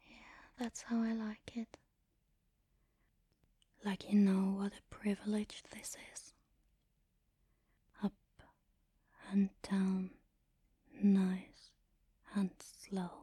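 Woman, intimate ASMR whisper, mellow and soft-edged, even pitch, commanding, teasing, unhurried. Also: no echo, clean studio sound. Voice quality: breathy, whispery. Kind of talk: erotic ASMR, guided audio roleplay.

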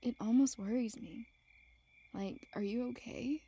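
Woman acting as sulky teasing young woman, slow pace, soft dark-edged tone, breathy, sarcastic, audio roleplay, night crickets behind. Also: fairly high pitch. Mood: annoyed, sarcastic. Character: grumpy, teasing young woman camping with a close friend. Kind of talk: intimate audio roleplay addressed to the listener.

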